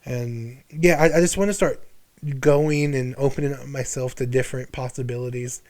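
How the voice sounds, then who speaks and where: A man's voice: medium pitch, fairly even intonation, normal speed, darkish tone. A man in his twenties talking candidly and casually as a podcast guest, using slang and swearing freely. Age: 20s.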